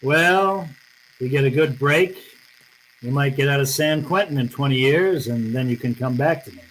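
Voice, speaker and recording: A man's voice: mid-range voice, moderate pace, fairly bright tone, slight background noise, natural voice, poor audio. A man playing a cynical, unyielding private detective in an old-time radio drama, with a terse, tough, accusing manner. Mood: bitter, resolute.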